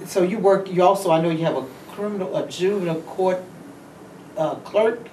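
A woman's voice: lowish voice, moderate pace, mellow. A woman, hosting an interview with lots of enthusiastic exclamations. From Nashville. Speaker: interview host. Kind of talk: interview chat. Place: office with bookshelves, indoors. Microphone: far camera. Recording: roomy, distant, faint noise.

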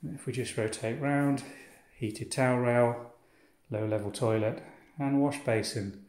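A man with a mid-pitched voice walks through a cottage with a handheld camera, listing furniture and fittings one by one. His delivery is relaxed and itemizing, with pauses as he moves between rooms. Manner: unhurried, descriptive, pausing between items. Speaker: holiday cottage owner giving a tour. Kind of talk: guided walk-through house tour. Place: inside a cottage, walking room to room.

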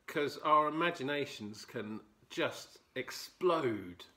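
A man in his forties doing a playful, expressive storytime read-aloud from a children's book. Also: medium pitch, slow. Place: furnished living room, indoors.

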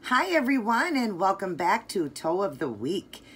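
A woman's voice: mid-range voice, big pitch swings, unhurried, a bit bright, slightly rough. A middle-aged woman hosting a nail art tutorial, chatting eagerly through each step and gushing about colors. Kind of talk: casual step-by-step tutorial narration.

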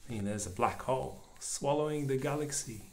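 A man reading a children's picture book aloud to a small child, pausing to explain things in simple words. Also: mid-range voice, slight background noise. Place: indoors at a table over the open book.

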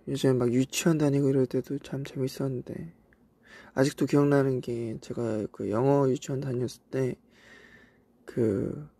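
A young Korean man from South Korea with traces of a Cheongju accent, chatting casually on a livestream and reminiscing in a relaxed way with soft asides. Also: mid-range voice, vocal fry, breathy edge. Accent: Cheongju dialect traces in Korean. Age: young adult. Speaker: idol livestream host. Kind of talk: casual livestream chat reading comments. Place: indoors, voice-only stream.

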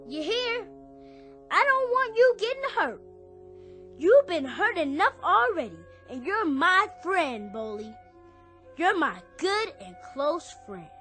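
Woman as a very high-voiced, earnest American boy. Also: fairly bright tone, full character voice. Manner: intense, earnest, colloquial American speech. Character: earnest young boy, devoted and fiercely hopeful. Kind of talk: radio drama acting. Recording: radio drama with music underneath.

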